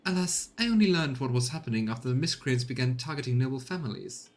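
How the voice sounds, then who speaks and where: A man's voice: medium pitch, expressive, fast, close to an everyday voice. A man voicing an anxious, haughty noblewoman governor in a formal, obsequious, theatrical manner for a video game. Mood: formal, defensive.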